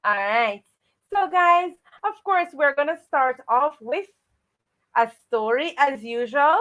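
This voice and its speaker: Woman w/ highish voice cohosting a kids livestream, saying hi to viewers, repeats her greetings a lot. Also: lively intonation, slow, a bit bright, dull audio. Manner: repeats set greetings and phrases. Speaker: co-host of a children's livestream.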